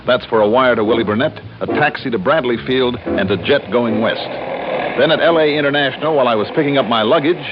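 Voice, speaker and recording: An actor playing a wry, tough insurance investigator in a 1960s radio drama, with clipped, sardonic narration and dialogue. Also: male voice, medium pitch, quick pace, background noise, lightly acted. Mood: brisk, matter-of-fact. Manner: wry, sardonic, clipped hard-boiled delivery. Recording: dull old radio recording with loud music.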